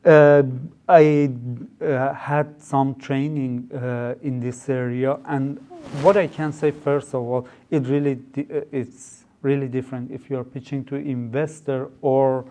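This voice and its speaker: Young guy with a persian accent, warm low-key tone, explaining startup stuff, lots of uhs and restarts. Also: little pitch movement, measured pace. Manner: hesitant, many fillers, rephrases mid-sentence. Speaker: guest, startup founder. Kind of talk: conversational interview.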